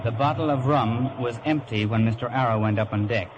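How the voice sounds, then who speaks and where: An actor playing the narrator who recalls a sea adventure, in scripted storytelling style. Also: male voice, medium pitch, brisk, very noisy, close to an everyday voice. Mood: reflective. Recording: old film soundtrack under music.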